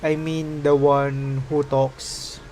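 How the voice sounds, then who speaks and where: A man livestreaming a game with casual, slangy reactions, speaking haltingly. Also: fairly high pitch, level tone, darkish tone, a little hiss or hum.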